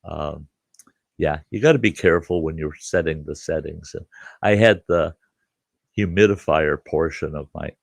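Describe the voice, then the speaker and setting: An older man with a congested voice, hosting a relaxed live chat, greeting viewers by name and rambling with frequent chuckles. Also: a bass voice, moderate pace, gravelly, dry recording. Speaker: livestream host.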